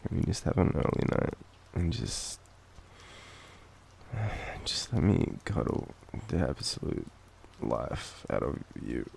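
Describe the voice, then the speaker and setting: A young Australian man with a cold, coughing now and then, murmuring softly and teasingly in an intimate boyfriend roleplay. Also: a bass voice, big pitch swings, slow pace, gravelly, slight background noise. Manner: soft, drowsy, teasing murmur.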